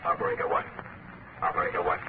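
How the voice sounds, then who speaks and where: An actor playing a mysterious, commanding crime-fighter with a sinister, deliberate manner in a 1930s radio drama. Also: male voice, natural voice. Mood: commanding, menacing. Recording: noisy, narrow-band 1930s radio recording.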